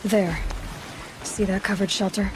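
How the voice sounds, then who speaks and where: A young woman voicing a resourceful huntress outcast in a video game cutscene, talking in a focused, even way. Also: measured pace, slight background noise, lightly acted, rough recording. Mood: determined. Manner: focused, resourceful, speaks low when sneaking.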